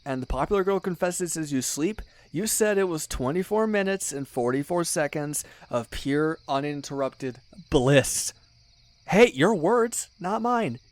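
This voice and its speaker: A man's voice: on the high side, lively intonation, measured pace, dry recording. A young man playing a teasing, loyal best friend in a one-sided casual roleplay, chatty and slangy with little hesitations. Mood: animated, incredulous.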